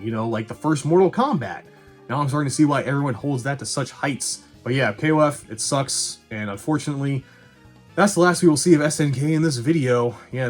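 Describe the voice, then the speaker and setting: A man giving a casual movie review as a video host, blunt, conversational and quick to swear. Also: mid-range voice. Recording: music behind the voice.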